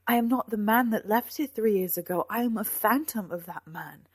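A weary male knight torn by love, performed by a woman reader, husky and grave. He holds himself back, then breaks into forceful, tormented declarations, in dry, dramatic storybook style. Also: mid-range voice, moderate pace, dry recording, lightly acted. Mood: impassioned. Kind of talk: dramatic audiobook character acting.

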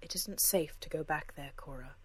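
A woman reading aloud, voicing a calm, impassive young woman who speaks softly and measured. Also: deep voice, breathy edge. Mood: grave. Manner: soft, measured, hushed.

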